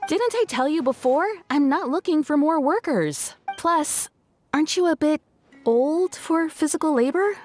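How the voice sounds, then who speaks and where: A firm, practical woman employer in a video game. Her high voice is very animated, blunt yet apologetic, with faint music underneath. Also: unhurried. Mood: dismissive. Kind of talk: acted video game dialogue.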